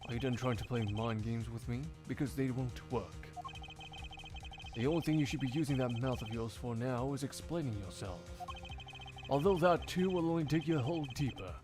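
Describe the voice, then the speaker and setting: A man plays a stern male prosecutor from a courtroom game. He speaks formally and deliberately, composed but severe and accusing, with music behind him. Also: mid-range voice, voice pushed down, lightly acted.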